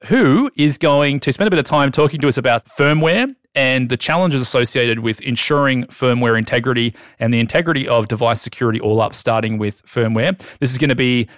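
A man's voice: mid-range voice, a little fast, few pauses, dry recording, clean studio sound. A man hosting a podcast, playful and joking as he banters and introduces the guest. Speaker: podcast host. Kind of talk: casual podcast chat.

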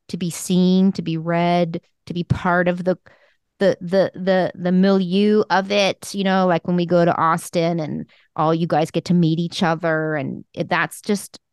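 A woman's voice: on the low side, fairly even intonation, measured pace, smooth, no echo, clean studio sound. A woman co-hosting a talk show, giving frank, emphatic advice to emerging writers in a casual conversational way. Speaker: podcast co-host and screenwriting mentor.

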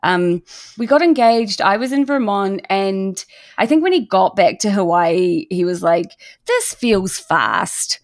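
A woman's voice: mid-range voice, big pitch swings, unhurried, no echo, studio quality. A woman in an interview, thoughtfully remembering her engagement, a little hesitant. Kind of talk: reflective interview conversation.

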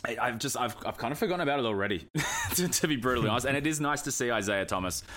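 A man's voice: medium pitch, lively intonation, very fast, pushed and bright, slight background noise. A man from Australia co-hosting a casual podcast, rambling with many ums and likes. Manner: rambling, lots of fillers. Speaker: podcast co-host. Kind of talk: casual chat.

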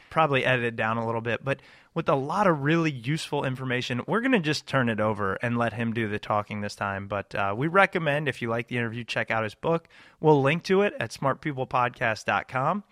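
A man's voice: medium pitch, fairly bright tone, dry recording. Casual male podcast host, dry sound. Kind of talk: casual podcast chat and interview intro.

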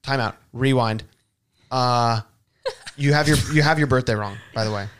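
A man's voice: medium pitch, normal speed, clear, resonant tone. Laughing late-twenties man telling stories. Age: 20s. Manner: animated storyteller, laughs often. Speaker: podcast guest. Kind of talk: casual chat.

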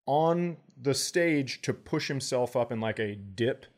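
A male American comedian in casual podcast chat, with a mid-range voice. He tells stories in a profane, animated way, joking as he goes. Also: medium pitch, slow.

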